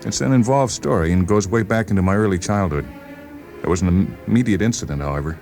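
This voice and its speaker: A man voicing a defensive, suspicious psychiatric patient with an insistent, halting delivery in a radio story reading. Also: low voice, darkish tone. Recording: voice over background music.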